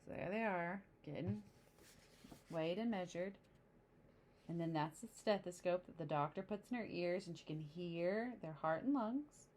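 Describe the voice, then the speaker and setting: A woman reading a picture book to young children, pausing to explain the pictures in simple, chatty asides. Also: lowish voice, a little mellow, a little hiss or hum. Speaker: storybook reader.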